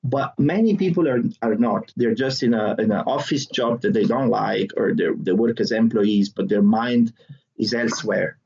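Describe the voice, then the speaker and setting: A husky-voiced man with an Italian accent talks through an interview, animated and quick to laugh, often restarting his words. The audio sounds dull and a little lo-fi. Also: on the low side. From southern Italy. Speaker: interview guest, comedian. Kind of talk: conversational interview.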